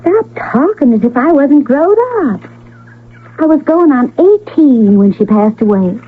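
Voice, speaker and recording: An actress playing a shy but spirited young frontier woman in a radio western, with a rustic American accent and a breathy voice. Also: high-pitched, expressive, unhurried, slight background noise. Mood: feisty. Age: young adult. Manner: timid yet feisty rustic talk. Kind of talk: 1970s radio western acting. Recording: tinny, narrow old radio broadcast.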